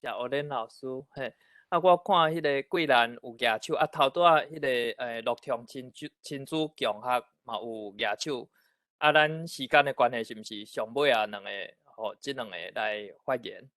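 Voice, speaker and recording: A man's voice: medium pitch, normal speed, fairly bright tone, no echo, studio quality. A man with a Taiwanese accent informally moderating an online forum.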